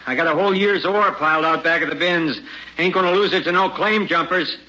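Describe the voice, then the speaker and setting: Gruff homesteader dad, higher-pitched and forceful, suspicious, folksy, old radio drama sound. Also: male voice, a little fast. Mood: wary. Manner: folksy, rough rural speech, wary. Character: gruff, suspicious prospector father, rough frontier homesteader. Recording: muffled, noisy old radio recording.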